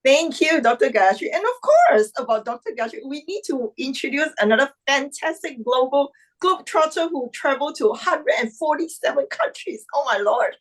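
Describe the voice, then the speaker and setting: A woman's voice: sits high, sing-song, normal speed, hardly any pauses, poor audio. A woman hosting an online club meeting over a video call, introducing guests in an upbeat, exclamatory way.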